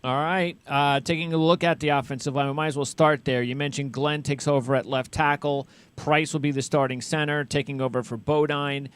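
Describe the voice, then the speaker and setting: Man, sports show interviewer, smooth clear voice, a bit high, halting questions, conversational. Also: fairly even intonation. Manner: halting, rephrases questions mid-sentence.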